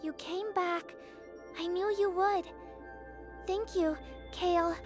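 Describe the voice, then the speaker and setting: Casting: woman voicing serene child soul for manga dub, tender, very high, slow. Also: steady tone, music in the background. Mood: grateful. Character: gentle freed girl's soul, small and serene. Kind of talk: dramatic manga dub acting.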